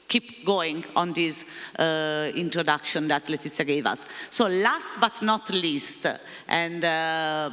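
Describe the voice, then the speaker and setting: A man's voice: on the high side, bright, forceful tone. A man chairing a conference session, introducing speakers and upcoming sessions with frequent fillers. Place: conference hall. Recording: long reverb of a large hall.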